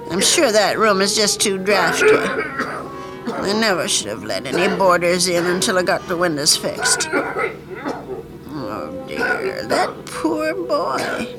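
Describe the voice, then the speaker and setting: A woman's voice: mid-range voice, exaggerated voice, rough recording. Swooping, fretful old landlady. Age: older. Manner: fussing, motherly, muttering worriedly to herself. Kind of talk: old radio drama acting. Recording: vintage radio drama with loud music.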